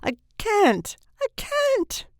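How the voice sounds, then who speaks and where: A woman's voice: extremely high pitch, wildly swooping pitch, extremely slow, no room sound, clean studio sound, pitched above the performer's own voice, full character voice. A woman voicing a frantic, horrified man, a new father in a storybook, in an outraged, gasping manner.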